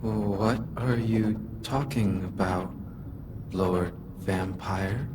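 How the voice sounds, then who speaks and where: An actor playing a sinister, taunting possessed villain in a video game, with a mocking drawl. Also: male voice, on the low side, extremely slow, background noise. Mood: mocking, menacing. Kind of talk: dramatic video game voice acting.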